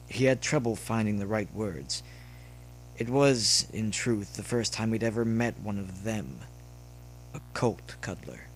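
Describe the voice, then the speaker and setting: Man, low voice, dramatic story narration, expressive delivery, faint noise and hum behind. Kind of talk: reading fan fiction aloud with character voices. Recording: clearly noisy background with hum.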